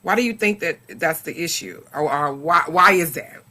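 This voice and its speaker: Woman podcast panelist, deep voice, bright forceful tone, earnest, repeats words. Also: normal speed. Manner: earnest, repeats words while finding her point. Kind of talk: casual discussion.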